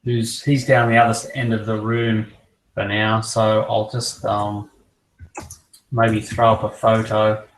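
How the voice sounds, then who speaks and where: A croaky-voiced man fighting off a cold talks casually and at length, his tone staying flat and level. He sits a little back from the microphone in an echoey room. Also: fairly low pitch, measured pace, recorded from a distance, slight background noise. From Australia. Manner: relaxed, rambling collector talk. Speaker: co-host. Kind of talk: casual chat.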